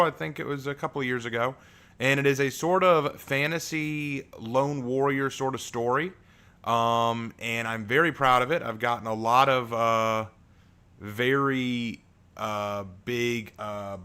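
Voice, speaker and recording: A man with a deep voice, an author chatting with his stream audience and then reading his own fantasy prologue aloud, relaxed, with fillers and restarts. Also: smooth.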